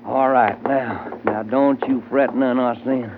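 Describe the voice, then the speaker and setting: Drawling Texan cowboy sidekick, tinny radio. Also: male voice, mid-range voice, fairly even intonation, slow. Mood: easygoing. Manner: drawling, rustic, folksy. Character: folksy, feisty Texan cowboy sidekick, male. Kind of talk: 1940s-50s radio adventure acting. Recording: tinny, faintly noisy old radio recording.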